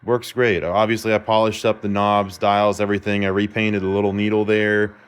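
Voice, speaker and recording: A man's voice: fairly low pitch, little pitch movement, fairly quick, clean tone. A man giving a casual, rambling demonstration in an informal, self-assured way, like a hobbyist vlogger. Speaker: vlogger showing a repaired vintage car radio. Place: repair shop, indoors.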